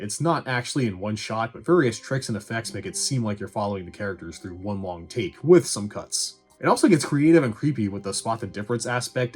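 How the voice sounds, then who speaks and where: A man with a fairly low voice talks through a casual movie review. His delivery is wry, opinionated and conversational, with a sarcastic edge. Light music plays underneath. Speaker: film reviewer and host.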